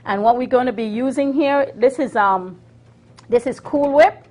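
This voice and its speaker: A middle-aged woman casually demonstrating a recipe, explaining it in a hesitant, halting way. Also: sits high, darkish tone, slight background noise. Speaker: guest cook demonstrating a recipe. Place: TV kitchen studio set.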